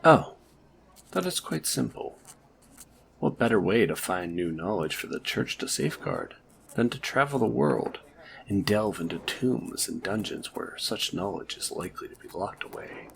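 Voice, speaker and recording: A man's voice: lowish voice, expressive, moderate pace, gravelly, a bit bright. A man playing a dry, bookish paladin in a fantasy audio drama, formal and condescending. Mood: explaining.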